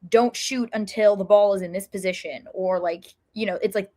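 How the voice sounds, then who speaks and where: A woman's voice: mid-range voice, fairly even intonation, normal speed, a little mellow. Woman chatting casually as podcast co-host. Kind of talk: casual fan chat.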